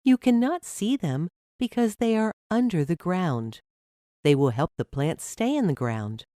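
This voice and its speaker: A woman voicing a patient, encouraging mother who explains things gently to her child in a storybook. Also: lowish voice, sing-song, a little slow, dry recording, clean studio sound. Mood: patient, explanatory.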